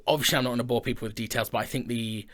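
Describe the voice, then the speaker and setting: A man's voice: medium pitch, steady tone, very fast, a bit bright. A man co-hosting a casual studio chat about his creative work, speaking in an informal, enthusiastic way. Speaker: co-host, audio drama creator and film director. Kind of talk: casual chat, announcing projects. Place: indoor studio.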